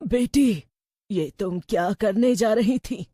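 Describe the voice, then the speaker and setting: A woman playing a wise older village woman, measured and cautioning, in an animated story. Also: medium pitch, dry recording, clean studio sound. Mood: grave, advising. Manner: measured, advising. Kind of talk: animated Hindi story acting.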